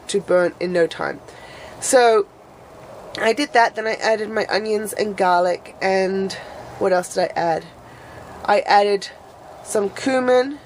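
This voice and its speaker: A woman's voice: medium pitch, a little mellow, slight background noise, low-quality recording. Woman with a stuffy nose from a cold. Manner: rambling, trails off and restarts. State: nasal from a cold, sniffly. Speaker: vlogger. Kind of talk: casual chat to camera.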